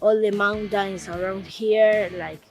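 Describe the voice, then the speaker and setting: A woman's voice: mid-range voice, steady tone, slow pace, darkish tone, highs cut off. A woman from Buenos Aires with an Argentine accent, a polo player speaking casually in an interview. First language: Spanish. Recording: music behind the voice.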